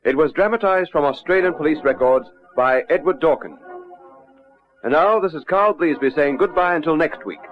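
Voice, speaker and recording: A man's voice: a little high, lively intonation, moderate pace. A man hosting and narrating a scripted crime drama in a formal announcer's manner. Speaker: narrator and host. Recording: dull lo-fi old radio recording.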